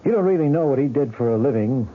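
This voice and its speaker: An actor playing a blunt, curt police lieutenant who interrogates, in a vintage radio drama. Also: male voice, medium pitch, expressive, quick pace, a little hiss or hum, close to an everyday voice. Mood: pressing, probing. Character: brisk, blunt male city police lieutenant. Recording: tinny, phone-like old radio recording.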